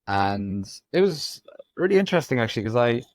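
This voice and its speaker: A man in his forties with an Irish accent, from Ireland, telling his story as a podcast guest in a reflective, halting way. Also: mid-range voice, sing-song, no echo. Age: 40s. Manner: reflective, frequent ums and restarts. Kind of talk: candid conversational storytelling.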